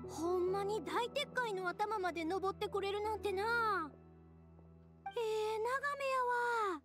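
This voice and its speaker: A woman voicing a cheerful, warm-hearted young woman in an anime game, with a Kansai accent and a bubbly, expressive delivery. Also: extremely high pitch, takes it slowly, over music. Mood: excited. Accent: Kansai Japanese.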